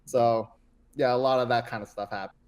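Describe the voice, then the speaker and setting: Korean-born guy who grew up partly in the us, native korean speaker, chatting as podcast guest, average pitch. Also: fairly even intonation, a little fast, darkish tone. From born in Korea, raised between Korea and the US. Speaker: podcast guest, esports agent. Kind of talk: casual interview answers.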